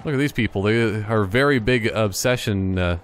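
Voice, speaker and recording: Casting: male gaming host, casual and jokey, deadpan, lowish voice, slight background noise. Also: moderate pace. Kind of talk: casual joking game commentary.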